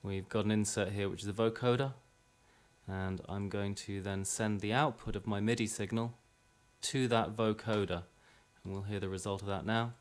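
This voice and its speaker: Low-voiced man giving a music software tutorial. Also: fairly even intonation, moderate pace. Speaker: music production student presenting a tutorial. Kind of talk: informal software tutorial demonstration. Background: drum and music playback.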